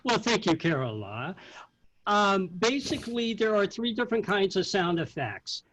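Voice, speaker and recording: A middle-aged man, a theatre sound effects artist, explaining informally in a halting way, searching for words. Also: high-pitched, very animated, fairly bright tone, slight background noise, poor audio.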